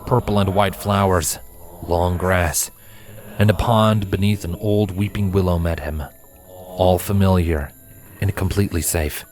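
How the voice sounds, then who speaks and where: A man's voice: deep voice, steady tone, unhurried, darkish tone, faint music behind. A man reading a scripted story aloud as its narrator. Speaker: narrator, fan fiction reader.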